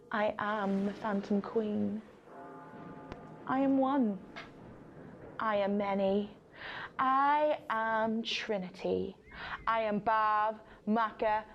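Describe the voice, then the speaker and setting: A woman reciting a dramatic poem in the first person as an ancient, fierce female war goddess, slow and deliberate, sinking into breathy whispers and rising in sudden swells. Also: mid-range voice, highs cut off, a little hiss or hum.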